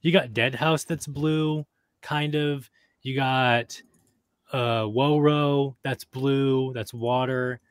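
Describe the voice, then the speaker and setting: A man's voice: medium pitch, fairly even intonation, clean tone, no echo. Man, podcast co-host, hesitant, stops to read notes, dry close sound. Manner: halting, reads names from notes with many fillers. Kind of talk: casual chat.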